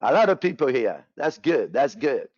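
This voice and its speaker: A man's voice: medium pitch, very animated, normal speed, darkish tone, no echo. Cuban-born man hosting a webinar, dull audio. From Cuba. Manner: casual, repetitive, filler-heavy with many restarts. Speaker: trading webinar presenter. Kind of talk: live webinar talk.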